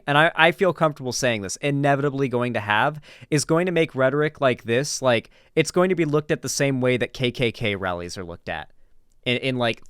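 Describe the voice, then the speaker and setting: A man's voice: medium pitch, a bit bright, smooth, no echo, clean studio sound. A man with an American accent giving casual, opinionated reaction commentary, speaking emphatically and informally. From Florida, United States. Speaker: political commentary streamer.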